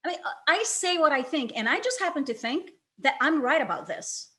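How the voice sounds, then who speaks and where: A woman's voice: high voice, animated, fairly quick, sharp tone. A woman playing a blunt, combative police detective in her forties in a dramatic script read. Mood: defensive. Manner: combative, outspoken. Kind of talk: screenplay table read, dramatic acting.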